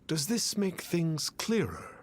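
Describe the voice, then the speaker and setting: A man's voice: fairly high pitch, very animated, slow, heavily acted. A man playing a pompous self-proclaimed immortal adept in a video game, speaking in grandiose archaic phrases. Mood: lofty, smug. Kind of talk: theatrical video game voice acting.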